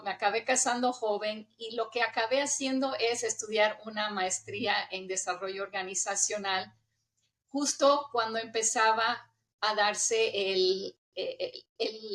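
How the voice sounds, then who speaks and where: A woman's voice: medium pitch, fairly bright tone. A middle-aged woman from the United States with a hybrid Spanish accent often taken for Argentine, talking in an interview, chatty and laughing easily. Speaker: guest, intercultural trainer. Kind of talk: conversational interview.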